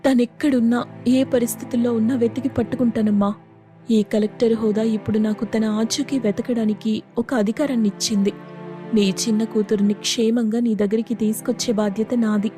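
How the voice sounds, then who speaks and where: A woman's voice: fairly high pitch, fairly even intonation, fairly quick, dark tone, faint music behind, lightly acted. A woman playing a devoted, principled village elder sister who became an officer, earnest and reassuring in a moral story. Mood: proud, resolute. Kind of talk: dramatised moral story acting.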